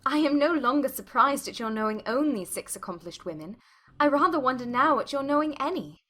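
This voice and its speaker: Spirited young gentlewoman female actor, quick-witted and pointed, bright, fairly high voice, period audiobook. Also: expressive, full character voice. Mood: pointed, teasing. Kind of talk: dramatized audiobook acting.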